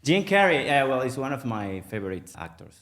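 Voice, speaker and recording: A middle-aged man from Venezuela, Spanish native, speaking English with a Venezuelan accent, halting and full of fillers in a casual interview. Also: medium pitch, big pitch swings, a bit bright. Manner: halting, many fillers and restarts. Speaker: guest, mergers and acquisitions manager.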